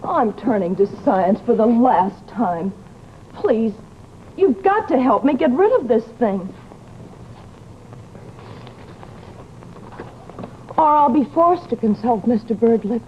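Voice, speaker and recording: A woman's voice: a little high, very animated, unhurried. An actress playing a troubled, genteel young lady in old-time radio drama, speaking in a polite, earnest, imploring way. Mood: pleading. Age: young adult. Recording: muffled, noisy old radio recording.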